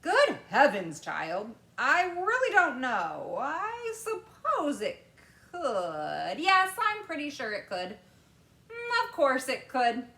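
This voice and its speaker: A woman voicing an eccentric, excitable male candy maker who exclaims fussily, in a storybook reading. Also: high-pitched, voice pushed up, full character voice. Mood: exclaiming. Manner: fussy, exclamatory, dramatic pauses. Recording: roomy echo.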